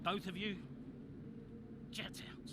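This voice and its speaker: An actor playing a blunt, hot-tempered middle-aged boss of a racing team in a video game cutscene. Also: male voice, high voice, little pitch movement, slow, heavy background noise. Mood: furious, scolding. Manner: blunt, commanding, scolding. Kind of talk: cinematic video game dialogue.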